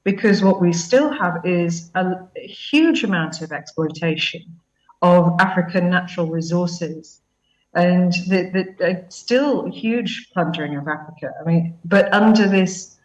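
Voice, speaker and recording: A woman speaking as a guest commentator on a news panel, measured and thoughtful with many fillers. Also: on the low side. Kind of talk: panel interview answer. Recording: dull, echoey sound from a distant mic.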